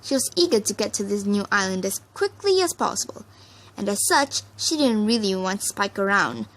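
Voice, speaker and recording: A woman reading fan fiction aloud as an expressive storyteller. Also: mid-range voice, normal speed, low-quality recording.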